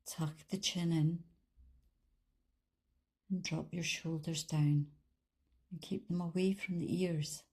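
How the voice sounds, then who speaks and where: Female meditation guide, lowish pitch and very slow delivery, calm and soothing, with generous pauses. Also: slightly rough. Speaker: meditation guide, life coach. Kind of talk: guided body scan meditation, instructional.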